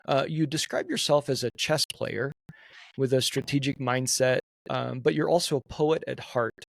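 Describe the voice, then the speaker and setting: Gravelly podcast host man. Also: medium pitch, no echo. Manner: halting, restarts phrases. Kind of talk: casual podcast interview.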